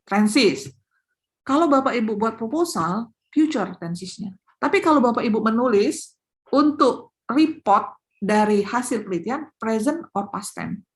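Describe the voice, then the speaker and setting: A woman lectures on academic writing in a high, lively voice. She stresses her main points through repetition and speaks directly to her audience. It sounds like a guest speaker on a webinar. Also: unhurried. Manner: animated, repeats key points, addresses audience directly. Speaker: professor and invited speaker.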